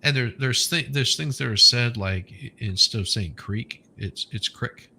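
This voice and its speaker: A man with a Southern accent in casual chat, halting and repeating words as he starts his sentences. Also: medium pitch, pushed and bright, a little hiss or hum.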